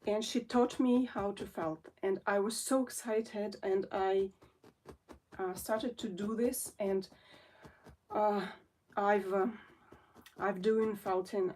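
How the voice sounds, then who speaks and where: A Russian-born woman in her forties talks slowly to her viewers in English, her second language, pausing often and restarting her sentences. Her voice sits a little away from the microphone, so it sounds somewhat distant. The tone is a relaxed, homemade chat. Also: mid-range voice, a little hiss or hum. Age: 40s. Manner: hesitant, many fillers and restarts. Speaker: felting artist and channel host. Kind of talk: casual chat to camera.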